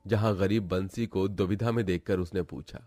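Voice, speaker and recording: A man's voice: low-pitched, steady tone, moderate pace, dark tone, soft background music. A man narrating a scripted cartoon folk tale as storyteller.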